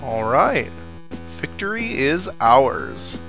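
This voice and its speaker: A man's voice: medium pitch, wildly swooping pitch, slow, creaky, rough recording. A man giving casual, chatty let's-play commentary on a video game, with wry asides. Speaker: let's player. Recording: game music behind the voice. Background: video game music.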